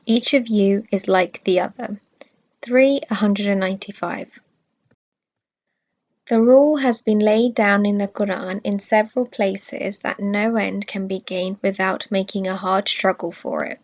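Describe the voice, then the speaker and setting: Measured woman narrator, slightly dull recording. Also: medium pitch, steady tone. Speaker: reader of religious study text. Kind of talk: scripted religious narration.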